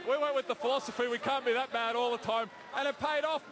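Cocky player in a noisy arena. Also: male voice, very high voice, steady tone, fast. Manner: upbeat, cocky banter. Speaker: basketball player. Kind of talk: post-game interview. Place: indoor basketball arena. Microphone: handheld microphone held by interviewer. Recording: loud arena crowd noise.

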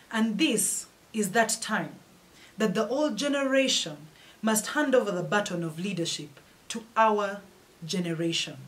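Young adult Ugandan woman, campaign address; formal, measured, declamatory delivery. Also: medium pitch. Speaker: political candidate. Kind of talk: prepared campaign speech. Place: indoors, in front of flags. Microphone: fixed camera, head and shoulders.

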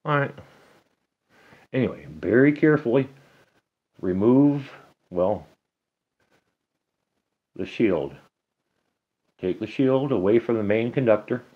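A middle-aged man giving a casual hands-on repair tutorial at a table indoors, talking slowly and haltingly, half to himself as he works. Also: medium pitch, lively intonation, breathy edge, phone-quality sound. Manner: slow, halting, talks to himself while working. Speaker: DIY hobbyist demonstrating a repair.

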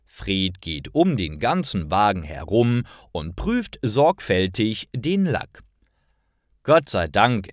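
Slow, expressive male story narrator. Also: fairly low pitch, dry recording, studio quality. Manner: careful, clearly enunciated reading. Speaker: narrator of language-learning stories. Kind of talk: scripted storytelling for learners.

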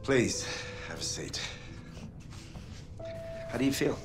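A middle-aged man acting a concerned, guarded scientist in a video game drama, soft-spoken and measured, with a gravelly voice. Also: animated, over music. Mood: relieved, tender. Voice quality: gravelly, breathy at phrase ends. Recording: low rumbling background noise.

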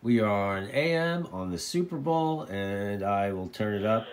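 A man's voice: fairly low pitch, expressive, unhurried, very noisy. A man informally explaining and demonstrating a product, repeating phrases for emphasis. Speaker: presenter of a radio tutorial. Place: indoors at a desk.

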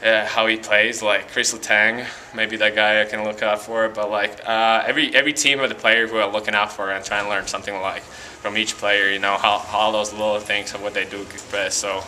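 A young man from Latvia with a Latvian accent, a college hockey player giving a halting post-game interview full of fillers and restarts in an arena hallway. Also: on the low side, flat delivery, pushed and bright, a little hiss or hum. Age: young adult.

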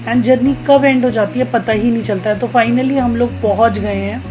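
Woman, thirties, higher-pitched voice, casual vlog narration, conversational, soft background music. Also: moderate pace. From Uttarakhand, India. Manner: chatty, conversational, addresses viewers directly. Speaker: travel vlogger.

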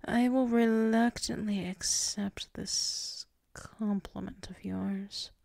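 A very slow, gravelly-edged female werewolf voiced by a woman in a close, dry ASMR roleplay. She is drowsy and tsundere, proud but submissive. Her words come out soft and halting, with flustered stammers and drifts into whispering. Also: medium pitch, steady tone, no echo. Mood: sleepy, reluctantly affectionate.

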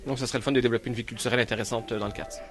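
A man's voice: medium pitch, steady tone, racing, over loud music. A man with a Québécois accent, a longtime resident answering a vox pop with many fillers. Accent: Québécois French. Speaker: lifelong neighborhood resident.

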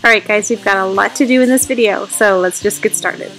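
A chatty, upbeat woman in her thirties talks straight to her viewers about her home and family. Her mid-range voice has a gravelly, fry-tinged edge, and faint music plays underneath. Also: medium pitch, a little fast, poor audio. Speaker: home vlogger. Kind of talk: casual vlog narration. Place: family home, bedrooms and kitchen.

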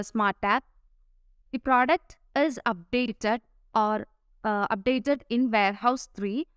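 A woman narrating a step-by-step software tutorial over a screen recording, pausing often with "uh" fillers. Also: on the high side, clean tone, no echo, clean studio sound. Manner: explains step by step with frequent "uh" fillers.

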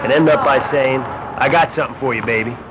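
A man's voice: medium pitch, fast. A man reading scripted narration for an instructional basketball film, as a shooting instructor. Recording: noisy old Super 8 film soundtrack.

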